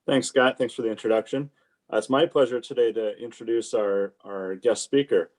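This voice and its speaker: A man serving as day chair, reading a formal introduction of the guest speaker in a measured way. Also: mid-range voice, steady tone. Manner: measured, with fillers and small restarts.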